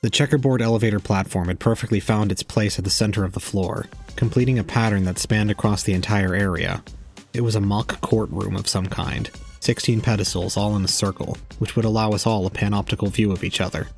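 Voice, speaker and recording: A man's voice: fairly low pitch, fairly even intonation, fairly quick, creaky, faint music behind. A man playing an aloof young man, narrating an audio drama in a formal, detached, cold way. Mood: brooding, detached.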